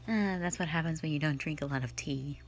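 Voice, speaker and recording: A woman's voice: sits low, fairly quick, faint music behind. A woman voicing a cold, sardonic female slayer who is not human, talking in a dismissive, mocking, blunt way in an audio roleplay drama. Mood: scornful.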